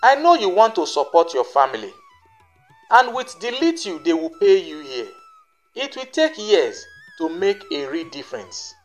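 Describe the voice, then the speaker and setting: Storybook madam, read by a narrator: smooth-talking schemer, persuasive and reassuring, over music. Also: medium pitch, huge pitch swings, moderate pace.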